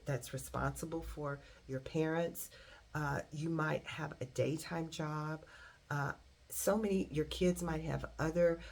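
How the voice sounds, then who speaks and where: A middle-aged woman with a very deep, husky voice, giving an earnest motivational talk and stressing key words deliberately. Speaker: motivational coach and singer.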